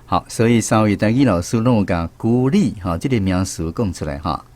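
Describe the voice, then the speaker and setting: A man's voice: fairly low pitch, lively intonation, a little fast, darkish tone. A man with a Taiwanese accent hosting a radio language quiz, speaking to listeners and students.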